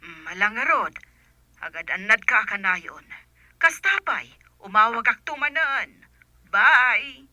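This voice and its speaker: Chatty, familiar woman in radio drama; very bright, piercing, high voice. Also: lively intonation, unhurried, pauses often, muffled recording, heavily acted. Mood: pleased, friendly. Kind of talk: Ilocano radio drama acting.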